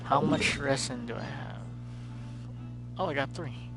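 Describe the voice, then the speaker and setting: Slow-paced man streaming over game music. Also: medium pitch, sharp tone. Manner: muttering to himself, repeating phrases. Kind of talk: casual live gameplay commentary.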